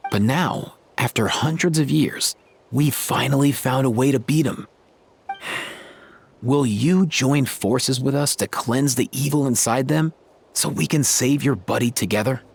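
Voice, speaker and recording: An actor playing an easygoing young warrior in a game cutscene, talking in a relaxed, slangy way. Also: male voice, medium pitch, unhurried, vocal fry, breathy edge. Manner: laid-back, slangy.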